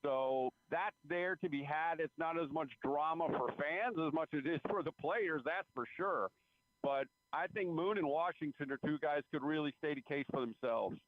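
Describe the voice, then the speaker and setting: A man giving measured, explanatory sports analysis as a beat writer guest, over a tinny phone line. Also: a little high, no room sound. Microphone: phone call.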